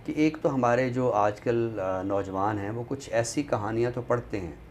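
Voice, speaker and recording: A man with a Pakistani accent discussing books in a deliberate, scholarly way, often pausing mid-sentence. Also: medium pitch, a little mellow. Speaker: literary show presenter. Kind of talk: book discussion in Urdu literary talk. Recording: noticeable background noise.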